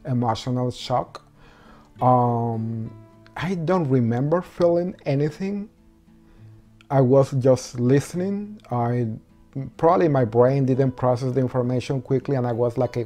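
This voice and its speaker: A man with a Venezuelan accent, a Spanish speaker, telling his own story in halting English with many pauses and restarts. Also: mid-range voice, dark tone. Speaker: interviewee. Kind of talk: personal story interview.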